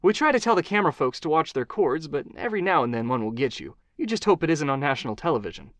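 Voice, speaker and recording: A man's voice: a little high, sing-song, fast talker, a bit bright, dry recording, pitched above the performer's own voice. A man voicing a poised, media-trained woman press secretary giving practiced, light answers. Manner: polished, practiced, lightly self-mocking.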